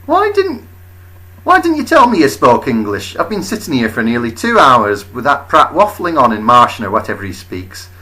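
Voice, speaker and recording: Man performing baffled ordinary bloke, blunt, exasperated, bright voice, lively pitch jumps, comic storybook character. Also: normal speed. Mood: indignant.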